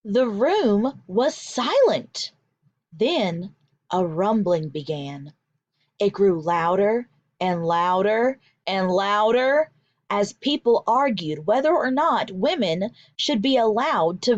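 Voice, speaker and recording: A woman teacher reading a picture book aloud for young children, with deliberate, emphatic storytelling and instructional delivery, in a slightly dull recording. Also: medium pitch, expressive, no echo.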